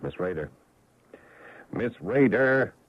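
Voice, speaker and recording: Brusque, formal middle-aged executive, radio drama, lively inflection, narrow-band vintage sound. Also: male voice, lowish voice, slow, slight background noise. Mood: impatient. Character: stuffy, impatient middle-aged male executive. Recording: tinny, narrow-band old radio recording.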